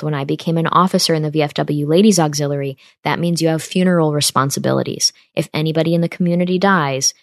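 Earnest low-voiced young woman. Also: no room sound, clean studio sound. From upstate New York. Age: 20s. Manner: earnest, persuasive, addresses listeners directly. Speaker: podcast host. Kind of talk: casual monologue.

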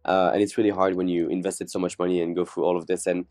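A man's voice: deep voice, flat delivery, quick pace, a little mellow, no echo, clean studio sound. A man, a podcast guest chatting candidly and jokingly, acting out quotes. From France. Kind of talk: casual interview chat.

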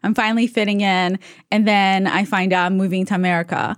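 A woman's voice: medium pitch, fairly even intonation, brisk, no echo, studio quality. A young adult woman born in Russia and raised in Israel, telling her story as a podcast guest in a candid, chatty way. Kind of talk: casual interview chat.

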